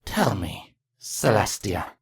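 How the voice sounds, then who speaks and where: A man's voice: fairly low pitch, big pitch swings, slow, creaky, slightly rough, no room sound, exaggerated voice. A man voicing a spiteful, grieving changeling queen in a fantasy story reading, with a theatrical, menacing delivery. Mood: spiteful, mocking.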